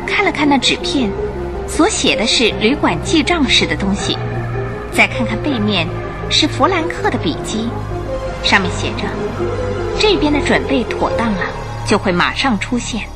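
A woman narrating a radio drama in an expressive, emotive storytelling style from a script. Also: on the high side, normal speed. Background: music.

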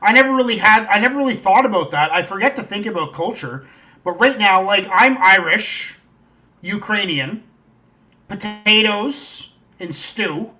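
A Canadian podcast host chatting enthusiastically, swearing casually and repeating himself. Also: male voice, sits high, animated, moderate pace, bright, forceful tone. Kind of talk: casual chat.

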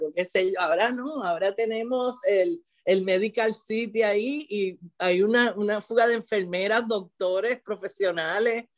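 A middle-aged woman from Puerto Rico with a Puerto Rican accent, a teacher talking as an interview guest, restarting her phrases often and tagging them with "¿verdad?". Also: medium pitch. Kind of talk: conversational interview.

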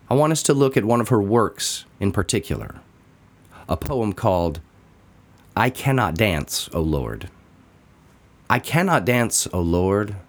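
A man with a low-pitched voice narrates a devotional. He reads slowly from a script, setting each phrase apart with deliberate pauses. The delivery is measured throughout. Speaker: reader of a daily devotional.

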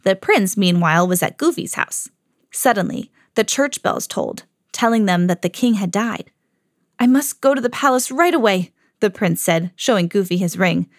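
A mother hosting her own podcast, reading a children's storybook aloud with lively jumps for the quoted lines. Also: medium pitch, normal speed, no room sound, studio quality. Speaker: mom reading to her kids, podcast host.

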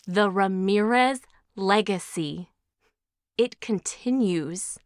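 A woman's voice: medium pitch, slow pace, a little hiss or hum, lightly acted. A woman playing a self-absorbed, glory-hungry aunt in an audio drama, dramatic and self-important. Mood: entranced, grandiose.

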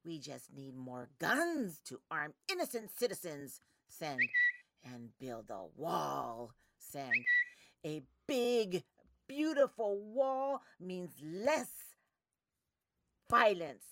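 A woman playing opinionated social media posters in an audio play, declaiming each post deliberately. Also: on the low side, big pitch swings, noisy background, heavily acted. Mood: brash. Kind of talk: audio play monologue, dictated posts.

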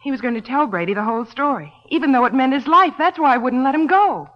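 Desperate suspect, high reverberant voice. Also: female voice, animated, quick pace. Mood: anxious confiding. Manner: pleading, indignant. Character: desperate, defensive young woman murder suspect. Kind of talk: 1940s-50s radio drama acting. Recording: muffled old radio, long reverb.

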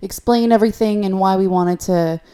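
A woman's voice: mid-range voice, moderate pace, dark tone, smooth. A young adult woman co-hosting a podcast in casual, conversational chat. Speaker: podcast co-host.

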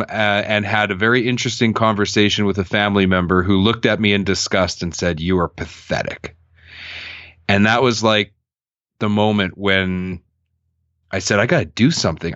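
Thirties male guest, earnest storyteller with a low voice and a dull, dry remote sound. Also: no room sound. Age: 30s. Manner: earnest, reflective, animated when quoting others. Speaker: podcast guest. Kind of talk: candid personal storytelling.

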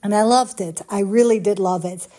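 Middle-aged Dutch-accented woman, podcast host, soft mellow tone, friendly pep-talk delivery. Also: medium pitch, normal speed. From Netherlands. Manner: chatty, encouraging, direct address to listeners. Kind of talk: casual solo podcast monologue.

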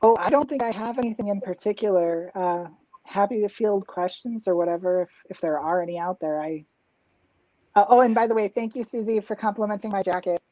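Thirties woman on a video call, musing out loud, lots of uhs and likes, muffled lo-fi sound. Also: medium pitch, fairly quick, no room sound. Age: 30s. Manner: reflective, frequent fillers and restarts. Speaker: drag king performer, guest. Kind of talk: casual interview chat.

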